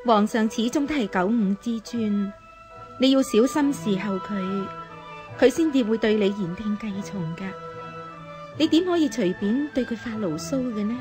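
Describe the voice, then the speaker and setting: An actress as a high-voiced, shrewd elder sister. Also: female voice, close to an everyday voice. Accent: Hong Kong Cantonese. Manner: coaxing, admonishing. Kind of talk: radio drama acting.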